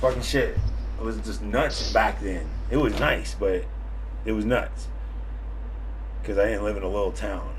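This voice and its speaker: A man's voice: fairly low pitch, measured pace, long pauses, background noise. A man in his thirties from Vermont, hosting a casual podcast with brash, profane rants. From Vermont, USA. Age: 30s. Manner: brash, ranting, heavy swearing. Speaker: podcast host and streamer.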